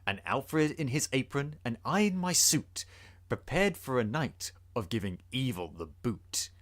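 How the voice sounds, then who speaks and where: Male narrator for rhyming tale: theatrical, suspenseful, forceful, lively range. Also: mid-range voice, unhurried. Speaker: podcast host. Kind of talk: dramatic storybook reading of rhyming verse.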